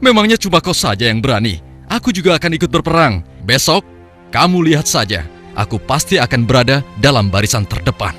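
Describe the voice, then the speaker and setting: A man's voice: medium pitch, sing-song, fairly quick, fairly bright tone, faint music behind. Scoffing, blustering village man played by an actor. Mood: boastful. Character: mocking, cowardly, boastful village man. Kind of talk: Islamic cartoon dubbing.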